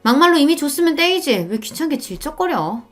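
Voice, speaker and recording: Brazen, contemptuous young daughter-in-law played by a female narrator, pitched up, curt radio-drama delivery. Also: very animated, a little fast. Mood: sneering, contemptuous.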